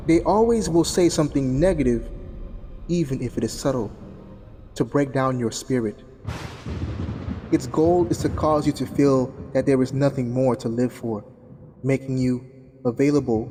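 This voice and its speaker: Man, mid-pitched voice, solemn spiritual teacher, deliberate phrasing with pauses, scripted sermon, loud music behind. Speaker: spiritual teacher, self-styled messenger of God.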